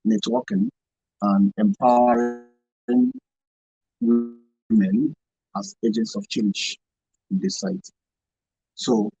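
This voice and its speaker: Nigerian guy guest on radio, raspy n creaky, really animated, bit muffled studio audio. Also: medium pitch. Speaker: radio show guest, NGO volunteer. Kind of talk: studio interview.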